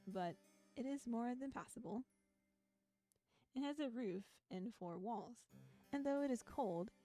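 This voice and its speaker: A woman with a soft, mellow voice streams a game over clear background music. She sits at a middling pitch and chats casually between stretches of reading aloud, with a giggly, easygoing delivery. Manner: giggly, chatty. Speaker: streamer playing a visual novel.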